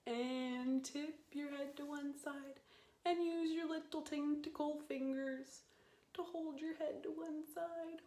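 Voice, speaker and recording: Female children's storytime host. Playful and expressive, higher-pitched, unhurried delivery, recorded in a roomy space. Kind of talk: children's storytime, guided stretching and read-aloud.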